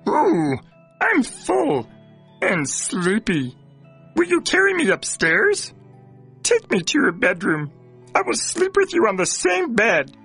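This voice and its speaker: A man voicing a small, pleading and pushy talking frog in a children's cartoon fairy tale, with a raspy voice and exaggerated delivery. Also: high-pitched, huge pitch swings, takes it slowly, fairly bright tone, soft background music, heavily acted. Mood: sleepy, wheedling.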